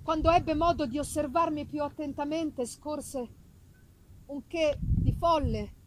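A woman giving a theatrical, deliberate and expressive first-person reading of a literary story. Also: sits high. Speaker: reader. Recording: noisy live festival recording.

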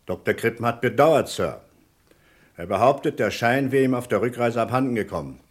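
An actor playing a courteous but probing chief inspector in a German crime radio play, formal and insistently polite. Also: male voice, medium pitch, lively intonation, fairly quick, husky. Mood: deferential, businesslike.

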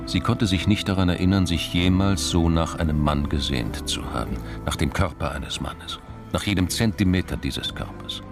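An actor as the narrator of a crime radio play, reading literary prose in a measured, descriptive way. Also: male voice, deep voice, over music. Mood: measured, intense. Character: male narrator of a crime story.

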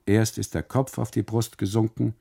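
A man's voice: lowish voice, level tone, normal speed, gravelly, dark tone, breathy edge, voice pushed up. A man voicing a suspicious, scolding Italian custodian in a radio play, pointed and insistent in his reproaches. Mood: stern reproach.

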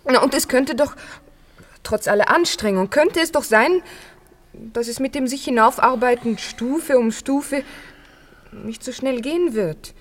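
A high-voiced, loving young daughter. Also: female voice, unhurried. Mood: affectionate, hesitant. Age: young adult. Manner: expressive, theatrical, earnest. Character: poor young daughter, loving, playful yet earnest. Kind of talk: 1950s German radio play acting. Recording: 1950s radio production.

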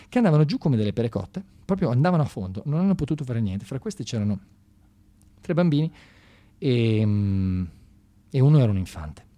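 A man performs a theatrical monologue in a medium-pitched voice. He talks colloquially and with animation, like someone telling a story directly to listeners. His delivery is sardonic, shifting between emphatic and quieter phrasing. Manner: animated, swinging from shouted exclamations to hushed asides.

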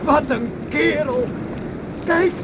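Admiring villager on the shore, really high voice, exclaiming, loud wind and sea noise. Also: male voice, slow. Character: admiring seaside villager watching a daring rescue. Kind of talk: Dutch radio play acting. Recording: very noisy windy sea scene.

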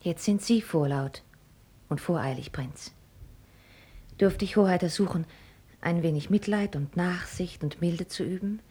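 An actress in a German radio play playing a sharp-tongued, witty young heiress with ornate, mocking phrasing. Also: female voice, on the low side, measured pace, pauses often, breathy edge. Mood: grave reproach. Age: young adult.

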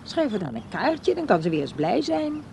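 A woman's voice: a little high, very animated, normal speed, creaky, mellow, a little hiss or hum. An actress playing a lonely, pious older woman in a radio play, pleading and insistent.

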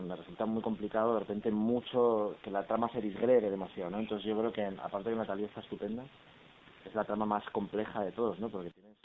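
A male actor talking casually and colloquially at a press conference, rambling with tags and quoted asides. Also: lowish voice. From Iberian Peninsula. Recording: muffled, hissy phone-like audio.

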